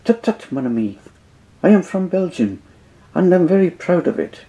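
A man's voice: mid-range voice, expressive, measured pace, dark tone, rough recording. A man voicing a fussy, proud male Belgian detective with a pompous, affected French-style accent, in a told story. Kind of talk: storytelling with voices.

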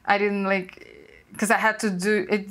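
A young woman from Russia with a Russian accent, chatting as a guest in an interview and telling stories with lively, non-native phrasing, recorded with a lapel mic in a small studio room. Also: mid-range voice, normal speed. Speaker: guest, former cruise ship assistant waiter. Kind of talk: casual interview chat.

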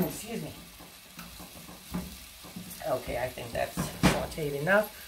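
A woman in her thirties with a strongly gravelly, fry-heavy voice chats casually while she cooks, rambling along with ums and quick apologies. She's recorded on a camera pointed down at her kitchen stove, and a clear sizzle of frying food runs under her talk. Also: sits low, animated, very noisy. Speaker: home cooking vlogger. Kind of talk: casual cook-along chat. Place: home kitchen, cooking at the stove. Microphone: fixed camera angled down at the stove. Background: frying sizzle and stirring.

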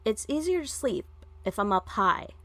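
A woman's voice: fairly high pitch, big pitch swings, moderate pace, bright, forceful tone, dry recording. A woman voicing a tired, solemn teenage bird-headed boy with formal speech in a story. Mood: sleepy.

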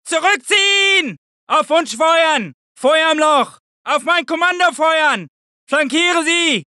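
An actor playing a frantic, hostile German soldier, barking urgent combat shouts for a video game. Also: male voice, very high-pitched, animated, slow pace, no echo, studio quality, full character voice. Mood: urgent, aggressive.

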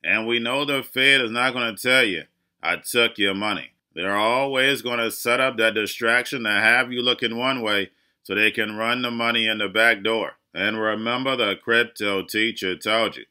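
A man with a very bright, forward voice hosting his own crypto market show. He speaks in an urgent, preachy monologue, addressing his audience as "guys" and driving his warnings home. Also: lowish voice, normal speed, clean tone. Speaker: crypto channel host.

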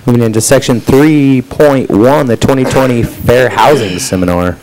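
A man's voice: moderate pace, darkish tone, rough recording. A man chairing a city commission meeting, talking casually into a desk microphone in a council chamber. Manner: casual, joking, says he has a booming voice.

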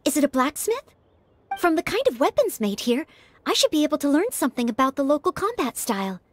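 Anime game maid-knight: young, very high and lilting, courteous, earnest, easily anxious. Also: female voice, big pitch swings, a little fast, no room sound. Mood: curious, earnest. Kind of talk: anime-style video game dialogue.

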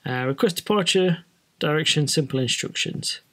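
A man's voice: a little high, normal speed, a bit bright. A British man giving an informal, explanatory walkthrough as a flight training presenter in a casual tutorial style. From Britain.

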